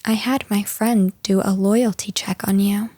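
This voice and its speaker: A woman performs a possessive yandere girlfriend in an intimate audio drama. She takes her time, taunting and condescending, with a menacing edge under the pet names. Her medium-pitched voice sits in a very dry, close recording. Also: steady tone, dark tone, no echo, close to an everyday voice. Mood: quietly menacing. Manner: slow, taunting, condescending pet names, slipping into breathy whispers. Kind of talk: roleplay audio drama, intimate address to listener.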